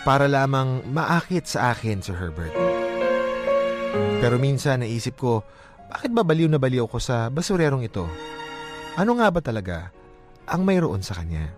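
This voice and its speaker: A man's voice: medium pitch, very animated, moderate pace, pauses often. A man narrating a dramatised first-person story in a chatty, theatrical manner. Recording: prominent music bed under voice.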